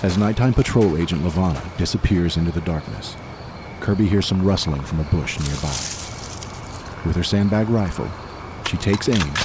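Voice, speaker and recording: A man's voice: low voice, normal speed, background noise. A man giving dramatic scripted narration as the narrator of a serial audio drama. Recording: music behind the voice.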